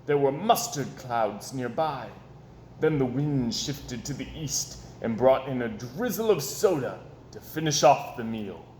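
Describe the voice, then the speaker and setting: A man reading a children's story aloud in an expressive, animated storytelling style, with dramatic pauses and emphasis. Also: medium pitch. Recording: echoey room with background noise.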